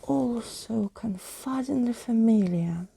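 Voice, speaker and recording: Woman whispering as jaunty skeleton gentleman, very soft. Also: fairly high pitch, slow pace, creaky, husky, pitched above the performer's own voice, heavily acted. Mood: wistful, curious. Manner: theatrical, wordy, whimsical phrasing. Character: Skeleton Keys, jaunty old-fashioned male skeleton adventurer. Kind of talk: whispered bedtime storybook reading.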